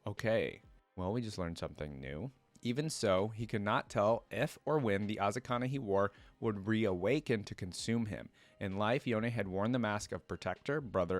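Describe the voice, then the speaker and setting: A man's voice: lowish voice, no echo. A man hosting a reaction video, reading lore aloud and adding informal, casual fan commentary.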